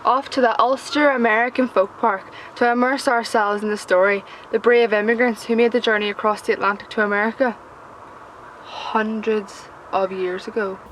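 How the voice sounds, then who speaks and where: A high-pitched Northern Irish schoolgirl, played by a young woman, tells her story in a sardonic, sweary stage monologue. She talks conversationally and mimics others, over clear background noise in an amateur location recording. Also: fairly even intonation, moderate pace, fairly bright tone, natural voice, low-quality recording. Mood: bored, sardonic. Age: young adult. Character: sardonic working-class Northern Irish schoolgirl recalling teenage humiliation. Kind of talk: theatrical monologue.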